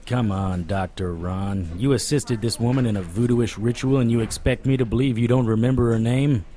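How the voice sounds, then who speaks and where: A man playing a wry, world-weary supernatural private investigator, narrating in a deadpan noir audio drama style. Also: medium pitch, moderate pace, natural voice. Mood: wry, probing.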